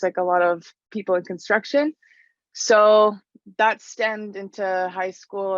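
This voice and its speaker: A woman's voice: medium pitch, no room sound. Chatty young woman, dry dull sound. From Canada. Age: young adult. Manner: chatty, frequent "like" fillers. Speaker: interview guest, stone mason. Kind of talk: casual interview answers.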